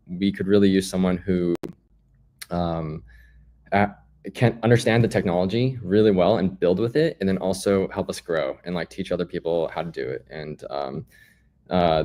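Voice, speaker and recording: A man's voice: low-pitched, darkish tone. A man speaking as an interview guest, telling his story in a casual, explanatory, conversational way. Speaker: guest, startup founder.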